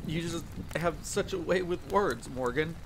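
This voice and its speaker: A man's voice: a little high, measured pace, background noise, lightly acted. A man playing a sentimental, chatty monster hunter in an audio roleplay, playful and self-deprecating. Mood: sentimental, awed. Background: faint rain, campfire ambience.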